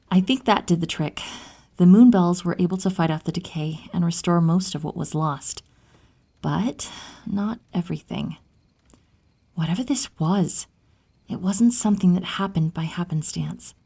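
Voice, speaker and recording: A woman acts out an ageless moon spirit who works as a healer in a fantasy audio drama. She is motherly and brisk, caring yet quick to scold. Her voice is mellow and very breathy, often hushed. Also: lowish voice, heavily acted. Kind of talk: fantasy audio roleplay acting.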